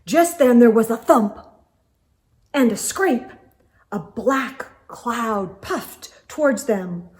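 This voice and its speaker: A woman reading a children's story aloud with expressive narration. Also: on the high side, slow.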